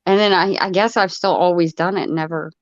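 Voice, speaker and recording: A woman's voice: on the low side, steady tone, brisk, no room sound. Chatty woman with an Ohio accent. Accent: Ohio, with some Florida. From United States. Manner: rambling and chatty, laughing often. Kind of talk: casual live stream chat. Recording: dull, dry lo-fi mic.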